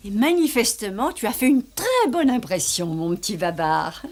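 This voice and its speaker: Woman acting a lively, kindly refined lady guardian. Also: medium pitch, moderate pace, noisy background, close to an everyday voice. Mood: proud, tender. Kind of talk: dubbed cartoon acting. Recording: music behind the voice.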